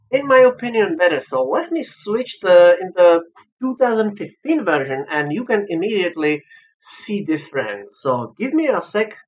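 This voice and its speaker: A reviewer with a foreign accent talks casually and without a script over game footage. The delivery is slow and hesitant, often pausing to search for words. The pitch sits in a middle range, and the phrasing is plainly that of a non-native speaker. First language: not English. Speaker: visual novel reviewer.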